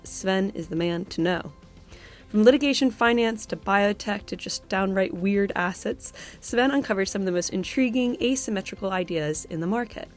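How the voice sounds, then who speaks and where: A woman hosting a podcast, reading an upbeat scripted introduction to the episode. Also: mid-range voice.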